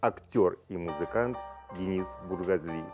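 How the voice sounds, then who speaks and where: A middle-aged man hosting a studio interview, asking measured questions and murmuring agreement. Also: deep voice, loud music behind the voice. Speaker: talk show host. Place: small studio with red curtain.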